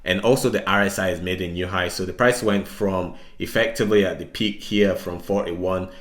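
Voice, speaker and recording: A man's voice: deep voice, fairly even intonation, normal speed, a bit bright. A man giving casual, chatty market commentary, explaining things informally and speaking straight to his viewers. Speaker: retail trader making stock analysis videos. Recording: roomy, echoing indoor sound.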